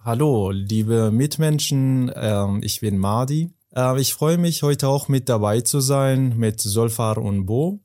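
A man's voice: medium pitch, slow pace, few pauses, dark tone, dry recording, clean studio sound. A man from Afghanistan co-hosting a podcast and putting questions to a guest conversationally. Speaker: podcast co-host. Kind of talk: conversational interviewing.

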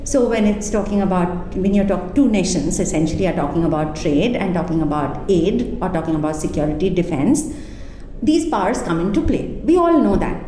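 A woman from India talks through her ideas with lively emphasis, often restarting mid-sentence. English is not her first language. Her voice is mellow and warm, recorded in a very reverberant room with a faint hum. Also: lowish voice, a little fast. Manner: animated and emphatic, thinking aloud with restarts. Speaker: interview guest, arts and culture figure. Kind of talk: conversational interview.

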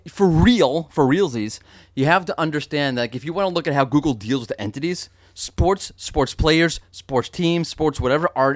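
A man's voice: fairly high pitch, dry recording, studio quality. A man hosting a podcast in a casual, joking way, explaining things with lots of fillers and restarts. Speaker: podcast host.

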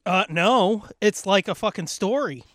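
A man's voice: high voice, animated, a little slow, fairly bright tone, dry recording. A man co-hosting a casual comedy podcast, bantering and swearing freely. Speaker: podcast co-host. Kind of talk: casual, profane podcast banter and joke reading.

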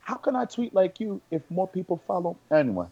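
A man's voice: sits high, sing-song, fairly quick, husky. Brash, boastful man on a muffled podcast. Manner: brash, boastful trash talk. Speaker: podcast co-host. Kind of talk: casual banter.

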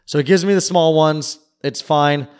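A man's voice: fairly high pitch, normal speed, darkish tone, clear, resonant tone. A man casually telling a long, rambling story on a podcast and swearing freely. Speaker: podcast co-host. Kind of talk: casual chat.

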